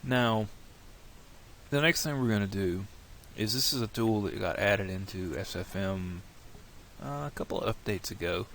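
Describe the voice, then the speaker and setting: A man with a cold and a slightly nasal voice, walking through a software tutorial in a relaxed, rambling way, thinking aloud at his computer. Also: fairly low pitch, normal speed, slight background noise. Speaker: tutorial creator.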